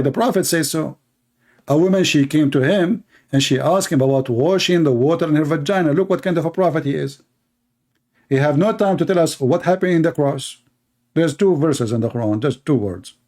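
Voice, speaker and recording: A man's voice: on the high side, darkish tone. Mocking man with an Arabic accent. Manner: mocking, sarcastic, heated. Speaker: debate host. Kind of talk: polemical commentary.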